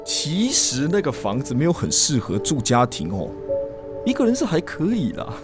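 Eager, flustered suitor for radio drama: higher-pitched, very lively delivery, stammering, faint music bed. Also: male voice, normal speed. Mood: nervous, hopeful. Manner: stammering, rambling, sentence-final particles. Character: eager, chatty, flustered suitor proposing to a woman.

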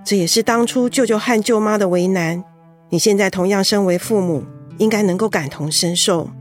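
An actress playing a reasonable middle-aged cousin, a gently persuading peacemaker, in a radio drama. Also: female voice, mid-range voice, normal speed, soft background music, lightly acted. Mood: earnest persuading.

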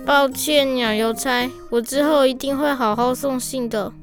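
A woman's voice: high-pitched, steady tone, moderate pace, soft background music. A woman voicing a shy, earnest little puppy boy who delivers mail in a children's audio drama, childlike, eager and sincere. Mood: apologetic.

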